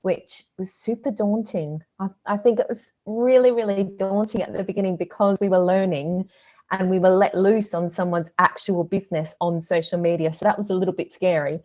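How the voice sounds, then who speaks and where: A woman's voice: medium pitch, fairly even intonation, normal speed, darkish tone, dull audio. A woman from Australia with an Australian accent, a guest on a podcast, talking chattily with frequent fillers and laughs. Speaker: guest, social media business owner. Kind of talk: casual podcast interview.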